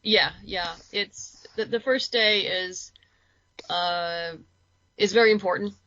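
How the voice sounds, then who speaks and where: A woman's voice: mid-range voice, slow pace, fairly bright tone, highs cut off. A woman chatting casually and informally as a guest on a podcast. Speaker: interview guest, comic and audio drama creator.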